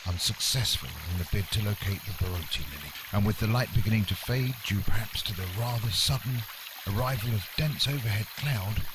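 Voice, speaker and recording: A man's voice: lowish voice, a little fast, hardly any pauses, background noise, natural voice. A man playing a wordy, unflappable, pompous nature presenter in a mock radio documentary, speaking with formal politeness. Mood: unruffled.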